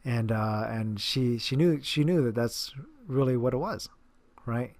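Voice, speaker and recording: Trainer guy talking to camera, earnest, lots of ums and restarts, mid pitch, dry close sound. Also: measured pace, darkish tone, dry recording. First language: English. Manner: rambling, many fillers and restarts, earnest. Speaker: personal trainer and coach. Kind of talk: casual talk to camera.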